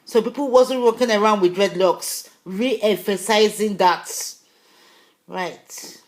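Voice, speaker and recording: A woman's voice: medium pitch, echoey room. A woman vlogger, English not her first language, talking to her viewers casually with emphatic, insistent points and loose rambling asides. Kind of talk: casual video talk to viewers.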